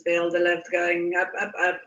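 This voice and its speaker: A woman leading a guided meditation in a soothing manner, with unhurried pauses and repeated phrases. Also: fairly low pitch, completely flat delivery, fairly bright tone. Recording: muffled, echoey, distant from mic.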